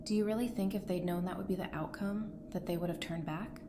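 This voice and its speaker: A woman's voice: fairly low pitch, steady tone, a little fast, noisy background, close to an everyday voice. A woman playing a caring, candid, wry survivor in an audio drama, speaking earnestly and expressively. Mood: concerned.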